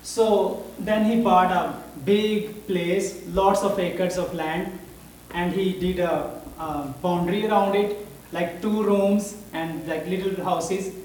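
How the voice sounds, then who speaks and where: A man with a Pakistani accent, a Punjabi speaker talking in English, addressing a church congregation haltingly and searching for his words. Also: high voice, fairly even intonation, a little mellow, slight background noise. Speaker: visiting missionary speaker. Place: church hall. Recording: roomy hall audio from a distance.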